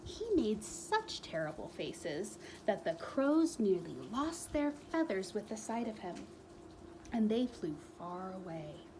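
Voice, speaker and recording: A woman reading a children's book aloud as a storyteller, expressively, with dramatic pauses and emphatic repetition. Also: mid-range voice, big pitch swings, dark tone, slight background noise, low-quality recording.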